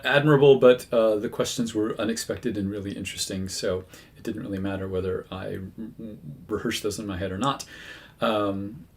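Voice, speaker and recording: A man who works as a medium, talking casually to his viewers with many fillers and self-corrections. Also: on the low side. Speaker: medium, channel host. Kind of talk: casual talk to camera.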